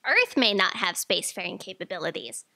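An actress playing an eager, outspoken young fact checker, earnest and insistent, in a staged audio drama. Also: female voice, fairly low pitch, big pitch swings, a little fast, creaky, pushed and bright, full character voice. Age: young adult. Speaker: stage actor. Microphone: stand microphone on stage.

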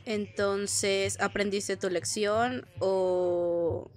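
A woman voicing a tough, unimpressed young cowgirl in a Latin American Spanish cartoon dub, curt and deadpan. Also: mid-range voice, very slow. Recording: music behind the voice.

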